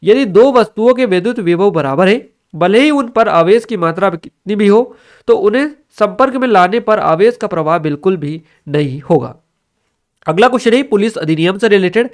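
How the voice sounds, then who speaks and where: Guy teaching exam prep, pretty high voice, goes over key points again. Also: lively intonation, moderate pace. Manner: instructive, repeats key facts for emphasis. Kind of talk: explanatory exam-review lecture.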